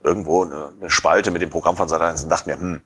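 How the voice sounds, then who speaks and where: A man in his forties chatting casually on a podcast, rambling and pausing often with fillers. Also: low-pitched, steady tone, fairly bright tone, husky. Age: 40s. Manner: rambling, hesitant with many fillers.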